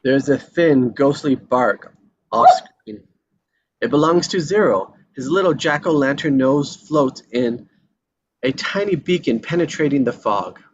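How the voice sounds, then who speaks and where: A man's voice: mid-range voice, lively intonation, unhurried, slightly rough. A man narrating a screenplay's action lines at a table read, sometimes tripping over and repeating words. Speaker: reader of stage directions. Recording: dull lo-fi sound with background noise.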